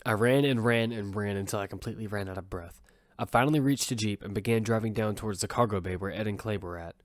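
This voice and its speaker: A man's voice: on the low side, fast talker, dry recording, studio quality, natural voice. An actor narrating as an earnest young squad leader telling his own story in an audio drama. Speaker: narrator and lead character. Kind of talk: first-person audio fiction narration. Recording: music bed underneath.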